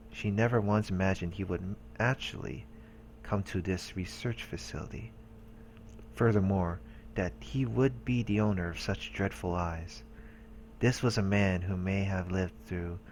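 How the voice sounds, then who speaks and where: A man's voice: low-pitched, darkish tone, a little hiss or hum, rough recording. A man hosting a let's play, casually reading a visual novel's story text aloud. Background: game music.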